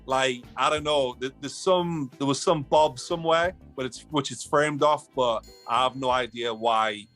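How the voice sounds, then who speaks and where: An animated man with a Geordie accent talks loosely and casually, circling back and repeating his points. His voice sits at an ordinary middle pitch. Faint music plays underneath. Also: a little slow, few pauses. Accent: Geordie (Newcastle). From Newcastle, England. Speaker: guest. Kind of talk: casual podcast chat.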